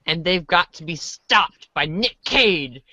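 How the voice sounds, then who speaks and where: A woman's voice: fairly low pitch, lively intonation, takes it slowly, pushed and bright, no echo, full character voice. A woman playing a goofy, earnest young boy who is an enthusiastic movie fan, in amateur readers' theater. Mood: defensive, enthusiastic.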